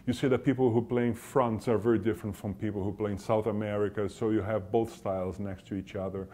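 A man's voice: lowish voice, fairly even intonation, quick pace, dark tone. A middle-aged man, a guitarist, talking casually in an interview, self-deprecating and full of fillers. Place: small room, indoors. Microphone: lapel.

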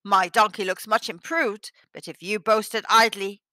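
Lady doing a bossy angry tyrant guy for a storybook, loud n scornful, bright forceful voice. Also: mid-range voice, fairly even intonation, a little slow, dry recording. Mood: impatient, demanding. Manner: loud, forceful commanding delivery. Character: greedy, hot-tempered male Mongol tyrant, imperious and scornful. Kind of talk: storybook character voice.

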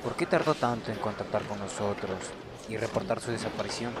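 Low formal police officer, acted by a man. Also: a little fast, natural voice. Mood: formal, questioning. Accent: Latin American. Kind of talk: dramatic video game dub. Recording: clear background noise.

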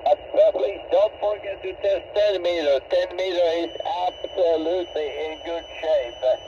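A man's voice: sits high, moderate pace, warm tone, muffled recording. Noisy shortwave radio, elderly Finnish man. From Finland. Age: 70s or older. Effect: shortwave radio transmission. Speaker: amateur radio operator. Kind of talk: ham radio contact chat. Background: radio static.

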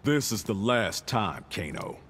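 An actor playing a tough, hostile soldier in a video game, with a blunt, hard manner. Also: male voice, fairly low pitch, very animated, measured pace, a bit bright. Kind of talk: video game character banter. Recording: faint game noise behind.

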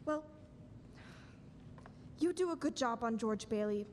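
Superintendent of angels young female actor, higher voice, calm authority, radio-play storytelling, hall reverb. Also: unhurried, a little hiss or hum. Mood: calm, instructive. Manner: instructive storytelling. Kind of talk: stage radio-play acting. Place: school auditorium stage, standing at mic.

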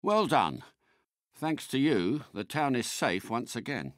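A man's voice: medium pitch, very animated, slow pace, fairly bright tone, no room sound, studio quality. A man playing a suave British secret agent car in a video game, polite and unflappable, with clipped, precise diction. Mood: composed, courteous.

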